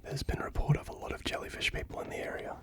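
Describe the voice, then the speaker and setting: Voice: fast, close to an everyday voice. A reader whispering the lines of a wisecracking young male detective, full of sarcastic quips.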